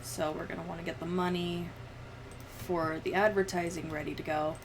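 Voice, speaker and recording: A woman livestreamer chatting casually, rambling and thinking out loud as she goes. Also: lowish voice, flat delivery, normal speed, noisy background.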